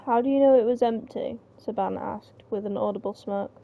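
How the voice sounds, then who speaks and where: A woman with a creaky voice reading a story script aloud informally, stumbling a little over the lines. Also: on the high side, a little fast. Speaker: reader of the narration. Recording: slightly muffled mic, faint background noise.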